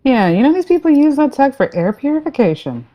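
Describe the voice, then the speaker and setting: A woman playing a breezy, trigger-happy spaceship captain in a sci-fi comedy play, delivered with dry deadpan. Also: high voice, fairly quick, muffled recording. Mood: wry.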